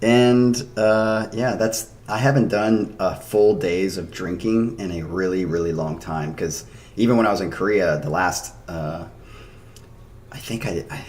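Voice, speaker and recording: A man in his thirties, hoarse from a day of drinking, chatting loosely and rambling to his stream audience into a desk microphone. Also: low-pitched, measured pace, darkish tone, a little hiss or hum. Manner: rambling, chatty, laughs often. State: hoarse after a night of drinking. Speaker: streamer reacting to music. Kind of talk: casual chat to a live stream.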